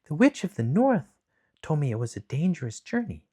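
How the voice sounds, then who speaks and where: A man voicing a brave, practical young girl in a high, earnest voice. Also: sing-song, normal speed, breathy edge. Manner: bright, high, earnest storybook voice.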